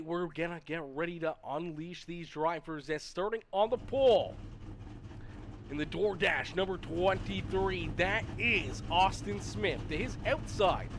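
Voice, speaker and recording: A man with a nasal, sick-sounding voice doing energetic play-by-play commentary and hosting a racing livestream. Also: fairly high pitch, background noise. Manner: energetic hype announcer, rattling off names. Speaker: race commentator and stream host.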